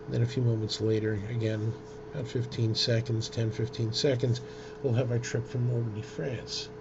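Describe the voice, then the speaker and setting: Male tutorial presenter, informal and hesitant with a creaky voice; dull recording with heavy noise. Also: medium pitch, little pitch movement. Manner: hesitant, halting delivery with frequent ums. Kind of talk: informal screen-share software demonstration. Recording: dull lo-fi audio with loud noise.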